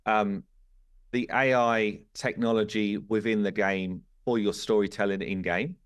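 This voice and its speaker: Low-voiced man chatting on a podcast. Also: steady tone, a little slow, smooth. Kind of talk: casual podcast discussion.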